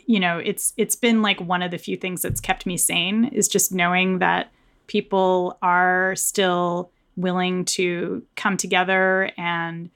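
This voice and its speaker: A woman's voice: on the low side, flat delivery, takes it slowly, fairly bright tone, clean tone. An American woman speaking in an earnest, reflective, conversational way as an interview guest, often saying "like" and "you know". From United States. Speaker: author being interviewed.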